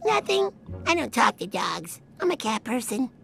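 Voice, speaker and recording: A man's voice: very high-pitched, very animated, normal speed, creaky, heavily acted. An actor playing a prissy, disdainful small male imp in a cartoon, curt and dismissive. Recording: noisy, clicky audio.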